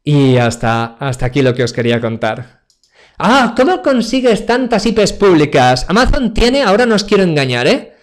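A man's voice: a little high, very animated, moderate pace. A man in his forties from Spain, giving a joking, chatty online tech talk with a live demo, speaking to the audience over a webcam from his home office. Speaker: cloud computing speaker. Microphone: webcam video call.

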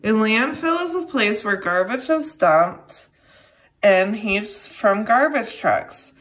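A young adult woman reading a children's educational book aloud, addressing young listeners in a deliberate way. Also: medium pitch, expressive, a bit bright. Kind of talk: read-aloud children's lesson.

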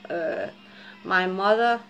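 Woman, 40s, Japanese and Danish accent, gravelly, unhurried, candid and swearing, webcam vlog at home. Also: mid-range voice, fairly bright tone, dull audio, faint music behind. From Japan and Denmark. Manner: rambling, candid, curses, laughs often. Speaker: vlogger. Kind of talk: casual chat to camera. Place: dim living room at home.

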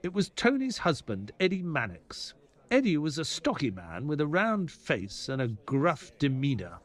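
A man playing a detective's loyal assistant, narrating an audio drama in a measured storytelling way. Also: a little high, wildly swooping pitch, exaggerated voice. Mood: measured, dramatic.

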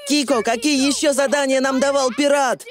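A woman's voice: high-pitched, steady tone, normal speed, over music, lightly acted. A woman voicing a confident, bossy young boy in a cartoon dub, speaking emphatically. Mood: commanding.

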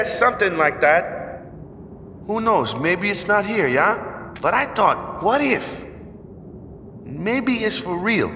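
A man's voice: sits high, bright, forceful tone, in a large hall, recorded from a distance, a little hiss or hum, heavily acted. An actor playing a brawny young island man in a video game, hesitant and evasive, hemming and hawing colloquially.

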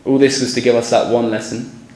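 A young man, a former student, giving a measured, sincere speech from a podium on a school stage, with some hesitant restarts. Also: mid-range voice, little pitch movement, a little mellow. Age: young adult. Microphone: podium microphone. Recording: echoey hall, faint background noise.

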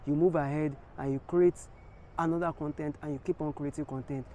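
A man's voice: fairly high pitch, fairly even intonation, fairly quick, dark tone. An earnest Nigerian man in his thirties speaks straight to his viewers with a slightly raised voice. He is recorded outdoors at arm's length, with faint city traffic behind him. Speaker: vlogger. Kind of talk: casual vlog to camera. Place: outdoors in an empty stadium stand. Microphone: phone or camera held at arm's length.

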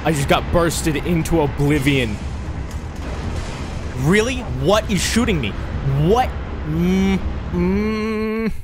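A man giving loud, crude, excitable commentary while he plays a video game, voice going hoarse. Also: high-pitched, slow, pauses often. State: hoarse, losing his voice. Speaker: gamer streaming gameplay. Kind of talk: casual gameplay commentary. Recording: game music and sounds behind.

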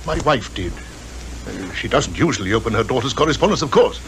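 A slightly noisy 1950s radio play recording of a worried British father of high rank. He speaks in a formal, reserved upper-class accent at a medium pitch, with some hesitation. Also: lively intonation, a little fast, a bit bright. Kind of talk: 1950s radio drama acting.

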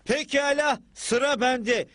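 A man voicing an overconfident, boastful boy soccer player in an animated cartoon dub. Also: very high voice, takes it slowly, slight background noise, full character voice. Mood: cocky. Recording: loud music behind the voice.

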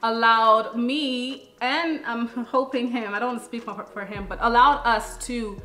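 A woman's voice: on the high side, fairly even intonation, measured pace, pushed and bright. A young woman co-hosting a vlog, giving casual relationship advice to camera in an emphatic, explanatory way. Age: young adult. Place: living room, on a sofa. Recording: echoey room. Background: music.

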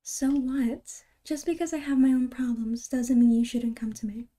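A gentle girlfriend acted by a woman comforts in an ASMR roleplay. Her voice is fairly high yet extremely soft and mellow. She speaks tenderly and haltingly, often breathy and near a whisper. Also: level tone. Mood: gentle concern. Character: caring, gentle, reassuring girlfriend.

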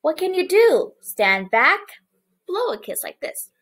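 A young woman preschool teacher reading a picture book aloud to small children and explaining it, with animated, exaggerated expression. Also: extremely high pitch, measured pace. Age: young adult. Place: small living room, indoors, on a couch.

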